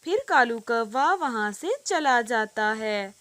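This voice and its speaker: A woman's voice: on the high side, animated, takes it slowly, fairly bright tone. A woman narrating a scripted children's cartoon story.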